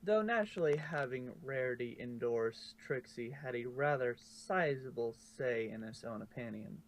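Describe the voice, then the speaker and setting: A man's voice: medium pitch, moderate pace, rough recording. A man reading scripted story narration aloud as the narrator. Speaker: narrator of a fan fiction reading. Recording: faint background noise.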